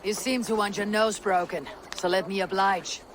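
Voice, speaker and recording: A man's voice: high voice, fairly even intonation, moderate pace, lightly acted. A man acting a bold, good-humoured Viking warrior in a dramatic game cutscene. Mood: firm. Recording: clear outdoor ambience noise.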